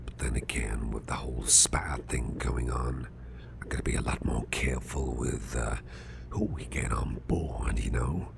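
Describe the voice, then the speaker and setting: Casting: male actor as grumpy, fretful boss for ASMR roleplay, rock-bottom growly half-whisper, slow halting mutter, repeats himself. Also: steady tone, background noise, exaggerated voice. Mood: musing, wary. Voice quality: gravelly, growly, very deep, breathy half-whisper. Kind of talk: ASMR roleplay, spoken softly to the listener.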